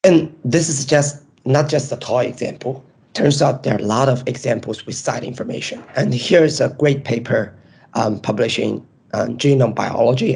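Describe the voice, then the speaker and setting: Hoarse man with a strained voice. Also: mid-range voice, a little mellow. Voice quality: raspy, strained. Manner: explains step by step with frequent ums. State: hoarse, nearly lost voice. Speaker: presenting researcher. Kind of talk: academic webinar presentation.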